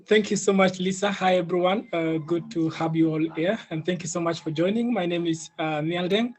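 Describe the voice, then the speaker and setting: A man's voice: sits high, moderate pace. A young man with an East African accent giving an earnest personal presentation on an online panel, often adding "you know". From Ethiopia, of South Sudanese family. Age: young adult. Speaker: youth leader, panel speaker. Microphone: video call.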